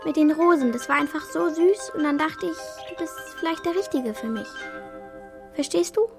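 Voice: sits high, moderate pace, gravelly, music in the background. A performer playing a bold, talkative schoolgirl with a direct manner in a children's radio play. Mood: forthright chatty. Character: Hülya, bold, chatty, forthright schoolgirl.

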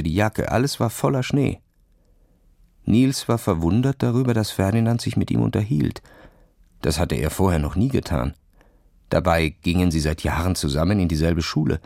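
A man's voice: sits low, vocal fry, darkish tone, dry recording. A man reading a scripted story for children as a narrator. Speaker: audiobook narrator.

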